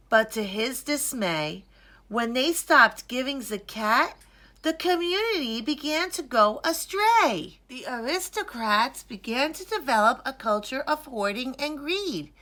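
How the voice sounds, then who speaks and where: Bright, expressive woman reading a children's story. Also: sits high. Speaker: storybook reader. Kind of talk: scripted children's story narration, read aloud.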